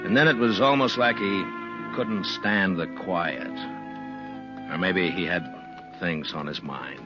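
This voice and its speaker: An American man acting as a laconic, low-key frontier lawman in a 1950s radio western. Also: takes it slowly. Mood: somber narrating. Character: laconic frontier lawman telling stories on the trail. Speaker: lead actor and narrator. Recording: muffled vintage radio recording with music.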